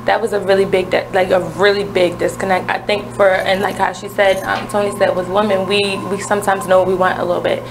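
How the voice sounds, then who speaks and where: A woman in her twenties, a radio guest speaking earnestly and self-assuredly, with frequent pauses to gather her thoughts. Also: mid-range voice, little pitch movement, creaky, background noise. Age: 20s. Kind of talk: casual radio talk.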